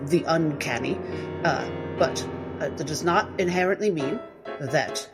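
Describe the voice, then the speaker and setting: A woman's voice: low voice, a little slow, over loud music. A woman voicing a flustered, rambling doctor of the mind in a tabletop game, hesitant and academic, stammering through her words. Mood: rattled. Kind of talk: tabletop roleplay in character.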